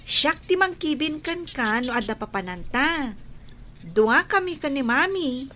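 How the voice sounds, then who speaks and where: A woman's voice: sits high, lively intonation, measured pace, sharp tone, slight background noise, full character voice. A woman with an Ilocano accent playing a caring, devoted young sister in a radio drama. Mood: tender, reassuring.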